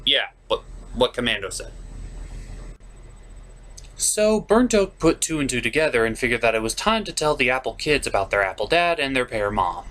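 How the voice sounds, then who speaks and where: Animated man hosting a comedic review. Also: mid-range voice, normal speed. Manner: theatrical, swings from dry recap to gushing. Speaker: reviewer, show host. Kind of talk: scripted comedic cartoon episode review, puppet show.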